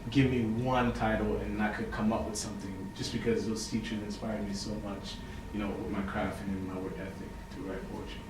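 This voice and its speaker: A young man from Haiti, a poet and writer, talking casually as an interview guest, relaxed and full of fillers. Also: fairly low pitch, fairly quick, hardly any pauses. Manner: relaxed, chatty, many fillers and "you know". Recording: echoey room, mic far off, noisy.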